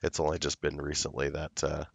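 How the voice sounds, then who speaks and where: A young man streaming a game, with a hoarse voice from illness, chatting slowly and loosely. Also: very deep voice, completely flat delivery, dry recording. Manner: slow, rambling, laid-back talk. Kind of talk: casual livestream chat.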